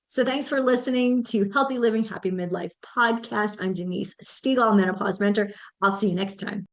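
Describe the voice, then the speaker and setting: A woman, hosting a motivational solo podcast and speaking to listeners directly in an encouraging way. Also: medium pitch. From United States. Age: 50s. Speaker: podcast host and menopause mentor.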